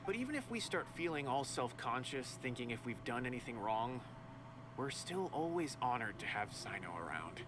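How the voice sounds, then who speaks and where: Earnest, animated young officer. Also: male voice, medium pitch, normal speed. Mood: uneasy respect. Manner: earnest, expository, polite. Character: dutiful, earnest, friendly male law officer. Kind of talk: video game voice acting.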